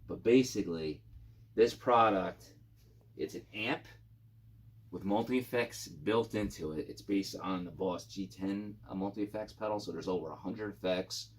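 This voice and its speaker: A middle-aged man hosting a casual video channel, talking informally and haltingly through an overview of gear. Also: sits low, breathy edge. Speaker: YouTube channel host.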